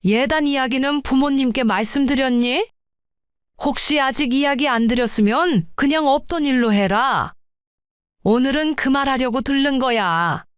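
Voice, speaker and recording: A woman plays a scheming, pushy middle-aged mother-in-law in an audio drama, talking down to her son's fiancée in a high, smooth voice. The recording is dry and close. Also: normal speed, no echo, lightly acted. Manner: presumptuous, talks down in plain speech.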